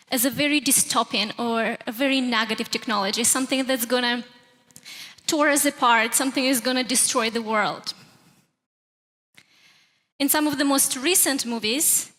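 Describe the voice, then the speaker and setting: A woman with a Russian accent presents a prepared talk to a conference. Her voice sits high and has a bright, projected edge. It rings through a large hall with long reverb. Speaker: presenter at a youth tech summit.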